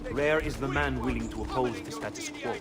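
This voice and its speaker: An actor playing a shrewd, worldly conspirator in a Renaissance video game, with an Italian accent and a measured, eloquent delivery. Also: male voice, medium pitch, over music, lightly acted. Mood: grave. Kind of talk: video game cutscene acting.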